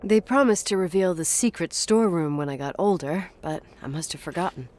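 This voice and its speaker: A woman's voice: fairly low pitch, lively intonation, brisk, darkish tone, close to an everyday voice. A woman playing a wry, guarded character in a game, speaking in a hesitant, dryly sarcastic, naturalistic conversational way. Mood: nostalgic. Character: wry, guarded adult woman recalling her childhood.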